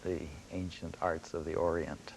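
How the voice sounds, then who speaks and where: An older man from China, a guest professor, explaining at a measured pace in a radio interview. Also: deep voice, level tone, darkish tone, poor audio. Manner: measured, explanatory, with small laughs. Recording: faint background noise.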